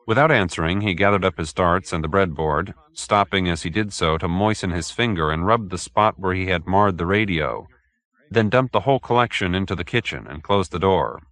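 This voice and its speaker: A man's voice: sits low, brisk, a bit bright. A man narrating fiction from a script as an audiobook reader.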